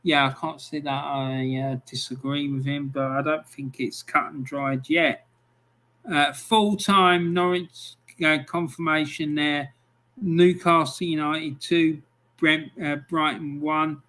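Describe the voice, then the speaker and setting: A man's voice: fairly high pitch, takes it slowly. A middle-aged man hosting a football live stream, chatting casually, reading out scores and viewer comments with plenty of ums. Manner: relaxed and chatty, with many ums.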